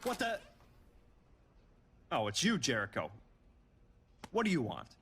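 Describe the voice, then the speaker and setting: A man's voice: on the high side, huge pitch swings, a little slow, a bit bright, a little hiss or hum, heavily acted. A man playing a condescending, irritable wrestling boss in a video game cutscene. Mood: irritated.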